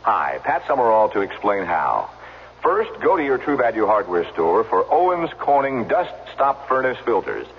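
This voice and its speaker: Male commercial announcer, low, lively scripted read, muffled old radio with faint noise. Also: moderate pace. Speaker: advertising announcer. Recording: dull, noisy vintage radio recording.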